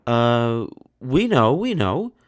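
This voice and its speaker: A man's voice: mid-range voice, very animated, slow, a little hiss or hum, voice pushed up. A man voicing two vain, cruel, haughty young sisters in a children's storybook. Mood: flustered. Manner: haughty, demanding, stammering when cornered.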